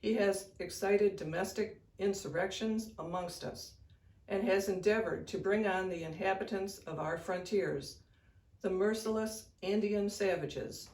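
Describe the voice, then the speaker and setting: Medium-pitched reader, formal document recitation, echoey recording. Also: darkish tone. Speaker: public reader at a commemorative event. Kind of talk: formal read-aloud of a historic document. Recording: roomy echo.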